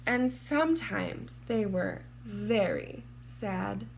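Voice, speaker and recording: A woman reading a children's storybook aloud in a measured, deliberate and expressive way, drawing out words. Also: mid-range voice, creaky, slight background noise, rough recording. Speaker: children's storybook reader, teacher. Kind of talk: read-aloud storybook narration for young children.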